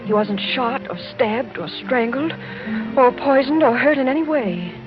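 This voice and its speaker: Anxious, high-voiced young heroine. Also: female voice, a little slow. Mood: fearful, pleading. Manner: urgent, dramatic, imploring. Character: anxious, pleading young woman, sheltered count's niece. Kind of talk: old-time radio drama acting. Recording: dull old radio recording with music.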